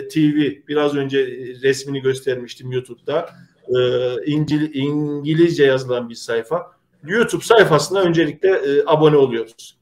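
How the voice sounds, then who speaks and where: A middle-aged man, hosting an online community show in an earnest, halting, polite way. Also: fairly high pitch, darkish tone. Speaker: host of a community video show. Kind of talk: informal presenting to an online audience.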